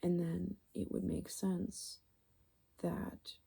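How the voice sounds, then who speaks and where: A woman speaking softly in a rambling, casual ASMR style, musing and halting mid-thought, often slipping into a whisper. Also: fairly low pitch, level tone. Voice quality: very creaky vocal fry. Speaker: ASMR video creator.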